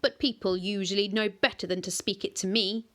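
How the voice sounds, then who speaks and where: A woman's voice: mid-range voice, steady tone, brisk, a bit bright, close to an everyday voice. A woman voicing an ancient giant tree monster. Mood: grave.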